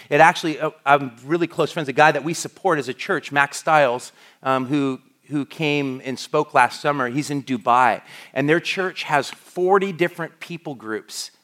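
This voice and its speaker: A man's voice: on the high side, fairly bright tone. Man, pastor, sermon, conversational, restarts, middle-aged, American. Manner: conversational, with frequent restarts and fillers.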